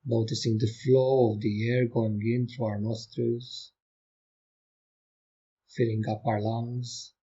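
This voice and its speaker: A man guides a meditation in a dark, relaxed voice with a slightly breathy grain. He speaks very slowly and gently, leaving calm pauses between short instructions. The recording sounds a little dull. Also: mid-range voice. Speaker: meditation teacher.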